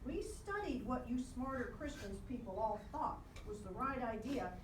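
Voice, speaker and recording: A woman voicing a cheeky female puppet in a live comic show, teasing and theatrical, recorded in an echoey room. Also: sits high. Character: cheeky female puppet preaching her own puppet religion. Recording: echoey room, distant mic, clearly noisy.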